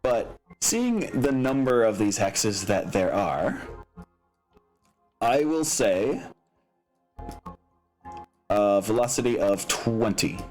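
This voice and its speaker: A man's voice: on the low side, big pitch swings, slow, pauses often, a little mellow. A man speaking casually as a game master, thinking aloud and explaining rules with some hesitation. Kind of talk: narrating a tabletop mech game. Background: background music.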